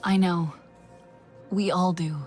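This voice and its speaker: An actress playing an earnest, caring young female alien fighter in a video game, with a gentle and sincere manner. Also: lowish voice, steady tone, slow, faint music behind. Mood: sympathetic. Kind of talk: video game character acting.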